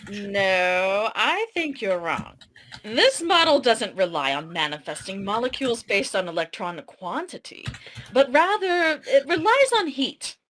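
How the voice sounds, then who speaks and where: A woman's voice: a little high, sing-song, a little slow, a bit bright, slight background noise. A woman playing a defiant, guilt-ridden and jealous woman in an amateur fantasy drama table read, in a dramatic, indignant manner. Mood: firm.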